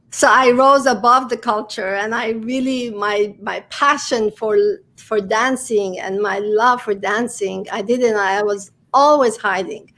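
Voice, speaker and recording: A woman's voice: fairly high pitch, slow pace, pushed and bright. A woman from Iran, a dance theater director, talking earnestly as an interview guest. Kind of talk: casual interview talk.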